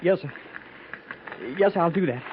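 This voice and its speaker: A man's voice: high-pitched, unhurried. An actor playing a horrified, queasy cavalry trooper in a 1950s radio western, plaintive and pleading with his officer. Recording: muffled, noisy 1950s radio transcription.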